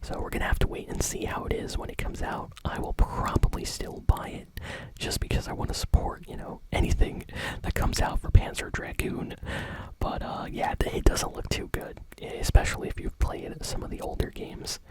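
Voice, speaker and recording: A woman whispering softly in a casual ASMR style as she hosts a video game news update. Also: moderate pace, low-quality recording. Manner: soft, unvoiced whispering throughout.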